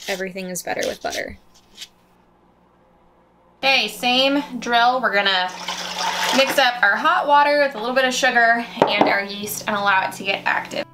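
A woman's voice: a little high, moderate pace, pauses often, pushed and bright, a little hiss or hum, low-quality recording. A woman in her thirties who runs a homesteading channel, talking viewers through her bread recipes step by step in a friendly, instructional way. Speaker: homesteading vlogger. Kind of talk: cooking tutorial narration. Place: home kitchen, indoors.

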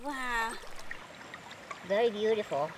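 A woman wading in a river, calling out excitedly as she catches fish, heard from a distance outdoors. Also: fairly high pitch, slow, background noise. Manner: excited, exclaiming. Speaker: angler. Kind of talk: excited remarks while fishing.

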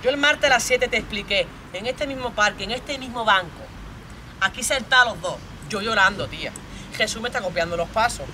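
A woman's voice: fairly high pitch, a little fast, background noise, natural voice. A woman voicing a jealous, bullying young boyfriend from a stage monologue, with a Spain accent, domineering and badgering with plenty of swearing. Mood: accusing. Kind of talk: theatrical monologue role-play.

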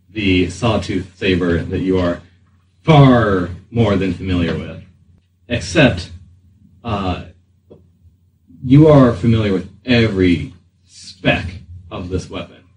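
Slow, halting male game master. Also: low voice, darkish tone. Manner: halting, deliberate. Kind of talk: improvised tabletop game narration. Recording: roomy, distant mic, faint noise.

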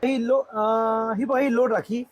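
A man's voice: very high voice, fairly even intonation, a little slow. A male shopkeeper, eagerly listing products in an informal sales explanation inside a shop.

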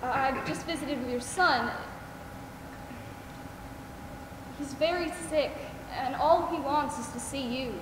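A woman's voice: sits high, moderate pace, lightly acted. A woman acting in a stage play as a compassionate, determined mother. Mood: earnest. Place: on a theater stage, distant camera. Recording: echoey theater hall, noisy room.

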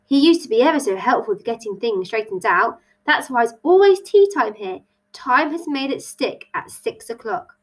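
A woman's voice: on the high side, expressive, normal speed. A woman voicing a polite, cheerful young girl in a children's story, courteous and eager. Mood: explaining.

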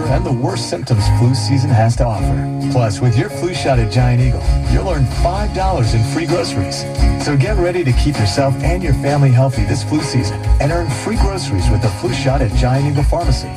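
A man's voice: medium pitch, lively intonation, brisk. A man reading a scripted commercial as an announcer. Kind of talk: scripted ad read. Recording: loud music bed, recorded off car radio. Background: plucked guitar music.

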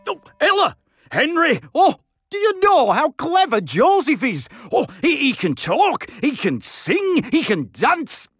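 A man's voice: very high voice, wildly swooping pitch, measured pace, fairly bright tone, no echo, studio quality, faint music behind, exaggerated voice. A man voicing a jolly, absent-minded older handyman in a children's cartoon, bumbling along with chuckles and hums. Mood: excited wonder.

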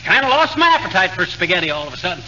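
An actor playing a folksy, boastful, blustering American husband in a 1940s radio comedy. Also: male voice, high voice, big pitch swings, racing. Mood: eager. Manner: folksy slangy grammar, blustering. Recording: noisy, muffled 1940s radio recording.

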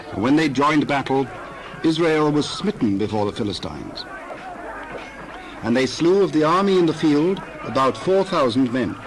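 A man's voice: a little high, slight background noise, poor audio. A man narrating scripture from a script as part of a dramatized Bible reading.

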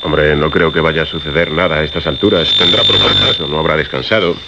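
An actor playing a brisk, commanding radio director who keeps calm, in a radio drama. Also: male voice, a bass voice, vocal fry, heavy background noise. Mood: brisk, reassuring. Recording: dull radio recording under loud music.

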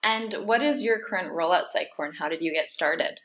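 A woman's voice: on the low side, brisk, a bit bright. A woman hosting an interview series, politely reading out prepared questions to her guest.

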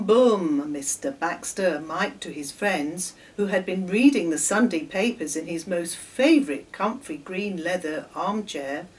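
A woman in her sixties with an English accent reads aloud to children with theatrical emphasis, recorded at a distance in a home kitchen. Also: lowish voice. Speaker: children's storyteller. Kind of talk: expressive read-aloud storytelling for children. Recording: distant microphone in a room.

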